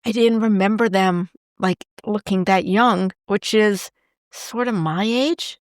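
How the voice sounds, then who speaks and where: A woman's voice: medium pitch, slow pace, dry recording, studio quality. A middle-aged woman hosting a podcast, reflective as she tells stories in casual chat. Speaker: podcast host.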